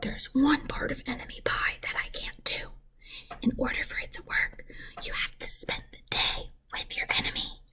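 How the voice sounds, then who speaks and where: A woman voicing a calm, secretive father in a children's storybook, with a slow, deliberate, confiding manner. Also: sits high, voice pushed up, heavily acted, low-quality recording. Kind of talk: storybook character voice.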